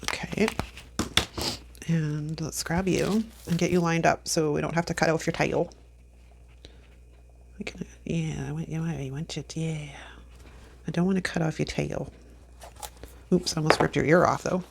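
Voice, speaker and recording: A low-pitched woman in her fifties with a gravelly edge, chatting to herself as she works through a planner spread. She sounds a little sniffly from allergies and breaks into easy laughs. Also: fairly even intonation, measured pace, pauses often. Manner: chatty, self-talking while crafting, laughs often. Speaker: planner vlogger. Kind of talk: casual plan-with-me chat.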